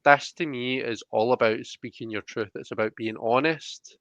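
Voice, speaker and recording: A man's voice: mid-range voice, fairly even intonation, moderate pace, a bit bright, dry recording, muffled recording. A man giving a conversational tarot reading, explaining each point in a reflective, searching way. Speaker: tarot reader.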